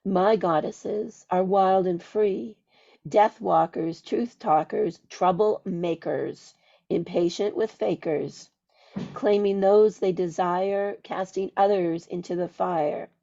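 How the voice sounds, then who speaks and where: A woman hosts a podcast, her voice squeaky from a cold. She speaks slowly in a dark, gravelly tone and reads a ritual invocation in a deliberate chant. The sound is dull and lo-fi. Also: fairly even intonation. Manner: slow, deliberate, chanting readings. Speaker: podcast host. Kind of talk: casual podcast chat and ritual invocation reading.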